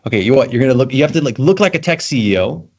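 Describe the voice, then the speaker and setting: A man's voice: mid-range voice, animated, very fast, darkish tone. A man from Belgium with an American accent, chatting eagerly as a podcast guest and filling his talk with "like". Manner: loose and eager, heavy on fillers like "like". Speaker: guest, tech content creator. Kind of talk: casual podcast chat.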